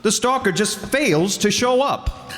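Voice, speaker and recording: Radio detective hero, male, actor in role: wisecracking, confident, higher-set lively voice, hall reverb. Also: slow pace, soft background music, exaggerated voice. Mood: urgent explaining. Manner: breezy, teasing, confident. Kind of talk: old-time radio crime drama acting. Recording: reverberant hall stage performance.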